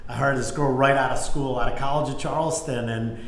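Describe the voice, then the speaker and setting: A man's voice: medium pitch, fairly even intonation, brisk. A middle-aged man, an interview guest, telling anecdotes in an informal conversational way. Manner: informal, peppered with "you know" and "like". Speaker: business executive, interview guest. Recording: echoey room, faint background noise.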